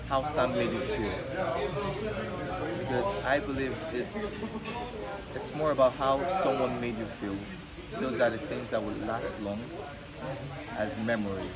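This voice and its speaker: A young Nigerian man with a Nigerian accent, a native Yoruba speaker, answering interview questions in an earnest, measured way. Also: mid-range voice, steady tone, poor audio. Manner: earnest, measured, speaks of himself in third person at times. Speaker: pageant contestant. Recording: loud crowd noise behind. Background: crowd chatter.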